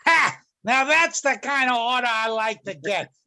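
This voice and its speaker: Man playing a high, sharp, griping lunch truck guy. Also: lively intonation, normal speed, heavily acted. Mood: brash. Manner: streetwise griping, slangy. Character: grumpy middle-aged lunch truck man, gruff and griping. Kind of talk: amateur readers theater reading. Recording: videoconference call audio.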